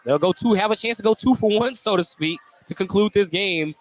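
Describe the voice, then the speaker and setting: A man's voice: sits high, fairly quick, poor audio. An American man doing live play-by-play basketball commentary on the radio.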